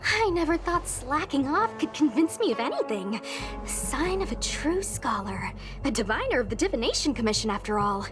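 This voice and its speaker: Admiring young female game character, high pitch, animated intonation, music behind. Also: normal speed. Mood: impressed. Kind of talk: scripted game voice acting. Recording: clear background music under the voice.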